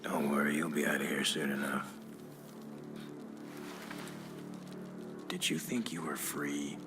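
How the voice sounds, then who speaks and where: Menacing game villain: cult commander, deep, deliberate, hushed threats, echoey space with loud music under him. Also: male voice, flat delivery. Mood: calm menace. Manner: slow, deliberate, softly threatening, often dropping into a whisper. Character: menacing cult commander, calm male manipulator preaching strength. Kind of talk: dramatic video game cutscene acting.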